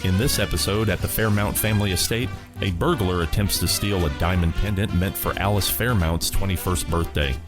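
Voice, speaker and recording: Deep-voiced male radio host over music. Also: brisk. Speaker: host of old-time radio rewind. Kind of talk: scripted introduction.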